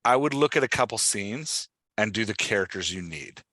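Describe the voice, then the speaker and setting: A man's voice: fairly low pitch, expressive, normal speed, bright, forceful tone, dry recording. A man hosting and coaching in a casual conversation, explaining step by step and often checking that he is understood. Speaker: podcast host and writing coach.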